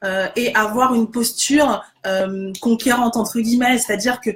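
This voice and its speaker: A woman's voice: fairly high pitch, fairly even intonation, a little slow. A woman hosting a casual conversation, agreeing enthusiastically and explaining with many fillers. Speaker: host.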